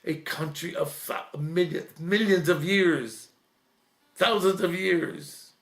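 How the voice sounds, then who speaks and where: A man, a priest, giving an earnest, reflective talk, slowing and softening at emotional points. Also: a little high, creaky, echoey room. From Lebanese heritage.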